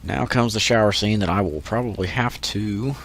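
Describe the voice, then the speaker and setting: A man's voice: lowish voice, normal speed, fairly bright tone, breathy edge. A man with a stuffy nose from allergies, giving laid-back, joking commentary over gameplay. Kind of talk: let's play commentary.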